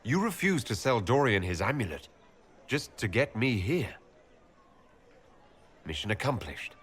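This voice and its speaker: Calm devoted leader in a video game, husky, plainspoken, big echoey hall reverb. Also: male voice, mid-range voice, a little slow, full character voice. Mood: firm. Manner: direct, plainspoken. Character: calm, earnest, devoted male elf leader. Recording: long echoing hall reverb.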